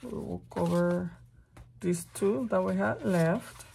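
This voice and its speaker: Woman, middle-aged, raspy from a cold, gravelly low voice, slow chatty talk. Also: mellow, a little hiss or hum. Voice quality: rough, raspy voice. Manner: slow, chatty, thinking aloud while working. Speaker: crafting channel host. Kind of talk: casual craft tutorial talk.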